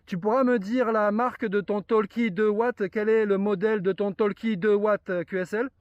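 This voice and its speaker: A man's voice: high voice, steady tone, normal speed, no room sound, highs cut off. A man chatting casually and explaining things as a radio operator. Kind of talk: casual radio contact chat.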